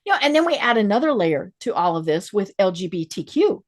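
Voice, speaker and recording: A woman's voice: mid-range voice, very animated, brisk. A woman hosting an interview, chatty and affirming, who grew up in Colorado. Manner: frequent affirming backchannels, chatty. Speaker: podcast host. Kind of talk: conversational interview.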